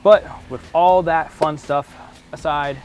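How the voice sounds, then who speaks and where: A man's voice: a little high, fairly even intonation, slow pace, darkish tone. A man talking casually to viewers as a vlog host, explaining and giving advice in an earnest, emphatic way. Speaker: offshore fishing vlog host.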